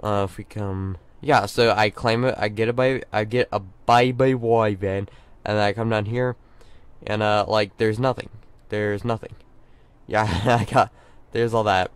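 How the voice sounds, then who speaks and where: A man's voice: fairly low pitch, steady tone, a little slow, smooth, no echo. A young man with a sore throat and a stuffy nose, rambling through casual let's play commentary with many fillers and restarts. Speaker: gaming channel host.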